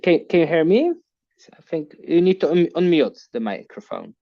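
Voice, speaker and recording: A man hosting an online class on a video call, talking in a halting way with many fillers. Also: on the high side, expressive, no echo. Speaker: online course host. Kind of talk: casual online class talk. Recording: muffled video call audio.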